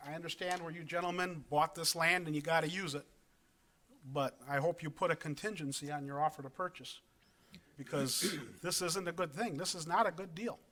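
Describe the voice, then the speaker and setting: Resident guy at a city meeting, bright kinda high voice, lots of ums and restarts. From Wisconsin. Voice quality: big voice. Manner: earnest, halting, with many ums and restarts. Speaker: resident speaking at public comment. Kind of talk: public meeting comment. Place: council chamber.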